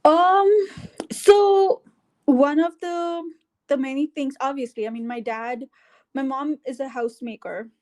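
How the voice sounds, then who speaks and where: A woman's voice: sits high, a little slow, a little mellow. A middle-aged woman from India with an Indian English accent, telling her life story in an interview, with many fillers and restarts. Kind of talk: casual conversational interview.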